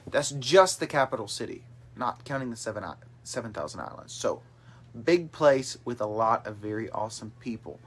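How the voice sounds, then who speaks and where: A man's voice: mid-range voice, animated, normal speed, fairly bright tone, husky. A man in his late twenties hosting a casual family livestream, reading out viewer comments in an upbeat way, filmed on a phone held at arm's length in a small bedroom. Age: 20s. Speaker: host of a family livestream chat. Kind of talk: casual chat. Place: small bedroom with brothers on a couch. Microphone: phone camera held at arm's length.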